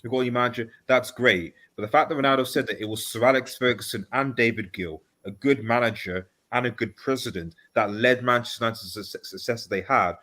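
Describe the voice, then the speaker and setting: A man's voice: mid-range voice, brisk, hardly any pauses, bright, forceful tone. A man on a casual football panel, explaining his points in a polite, conversational way. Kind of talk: casual sports discussion.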